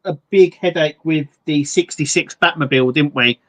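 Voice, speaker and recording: A man co-hosting a live stream, chatting in a relaxed, jokey way about model building. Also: on the high side, normal speed. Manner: relaxed, jokey, explains hobby builds with restarts. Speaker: livestream co-host, model builder. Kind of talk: casual chat.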